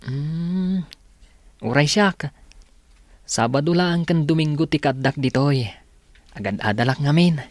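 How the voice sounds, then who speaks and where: A man's voice: on the high side, unhurried, long pauses, no echo, close to an everyday voice. Man acting a polite young student, dry sound. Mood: polite, friendly. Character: earnest, polite young male student, dutiful older brother. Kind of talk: radio drama acting.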